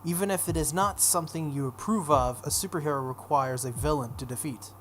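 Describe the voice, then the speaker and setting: A man's voice: fairly high pitch, brisk, fairly bright tone, close to an everyday voice. A man voicing an ominous priest in a visual novel, making solemn, oracular pronouncements over some background noise. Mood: solemn, probing. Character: ominous male priest delivering grave pronouncements.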